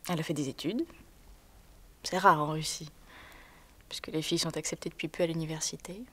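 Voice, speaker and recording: An actress delivering a theatrical monologue in a hushed voice that often drops to a whisper, pausing as she tells a story. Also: low voice, fairly even intonation.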